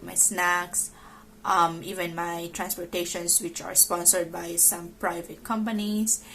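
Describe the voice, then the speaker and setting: A young woman, an ICU nurse, talking slowly and hesitantly from her couch at home, her voice rough from a dry cough. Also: fairly low pitch, a bit bright, slight background noise. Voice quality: rough, hoarse-edged voice. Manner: slow, hesitant, many ums and restarts. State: recovering from illness, dry cough. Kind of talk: casual vlog monologue.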